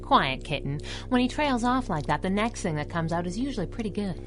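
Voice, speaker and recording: An actress playing a spunky young crime-fighting sidekick with a snappy, slangy, teasing manner in a pulp radio drama. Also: female voice, medium pitch, animated, fairly quick, over music, natural voice. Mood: brisk. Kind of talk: 1930s-set pulp radio drama acting.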